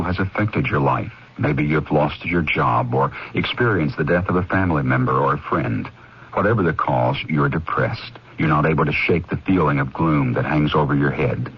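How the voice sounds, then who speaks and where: A man's voice: sits low, breathy edge. A man hosting an inspirational radio spot, giving scripted advice straight to the listener. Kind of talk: scripted direct-address advice. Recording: muffled old radio broadcast.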